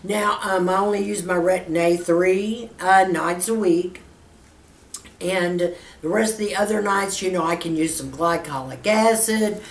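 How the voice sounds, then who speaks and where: A Texas woman in her fifties chats through a skincare Q&A with a lower voice, calling her viewers girlfriend. She sits back from the mic in an echoey room, with a faint hum underneath. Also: far from the mic. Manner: chatty, calls viewers girlfriend. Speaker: skincare vlogger. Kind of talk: casual Q&A to camera.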